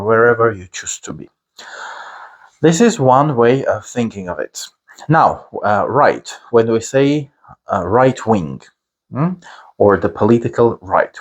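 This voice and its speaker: Armenian-accented male teacher, slow deliberate delivery, pausing often on fillers. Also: lowish voice. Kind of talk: explanatory lesson to camera.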